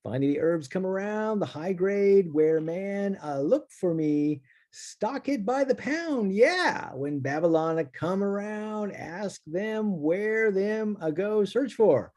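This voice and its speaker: A man's voice: sits high, animated, slow, hardly any pauses, darkish tone. A man playing a laid-back reggae-loving cannabis grower with a put-on in a play table read. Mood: laid-back, grooving. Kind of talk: table read of a stage play, half-chanted rap. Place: remote video call.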